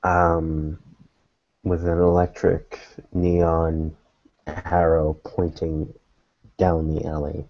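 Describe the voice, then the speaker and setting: Male game master, deep register, halting scene-setting delivery, dry and slightly dull recording. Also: monotone. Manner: halting, phrase by phrase. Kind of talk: slow scene narration for tabletop roleplaying.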